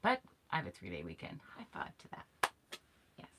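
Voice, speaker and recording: A woman's voice: extremely low pitch, huge pitch swings, normal speed, very noisy. A middle-aged woman co-hosting a casual camping live stream from a small camper, chatty and laughing often. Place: small insulated camper interior. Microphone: fixed camera framing two people on a bench.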